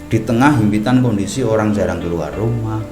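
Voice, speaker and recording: A middle-aged man from Banyumas talks at ease as a podcast guest, his low voice drifting through fillers and restarts. The room rings with echo, and music plays clearly underneath. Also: fairly quick. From Purbalingga, Banyumas, Central Java. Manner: relaxed, talkative, frequent fillers and restarts. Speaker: media director, podcast guest. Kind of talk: casual podcast interview.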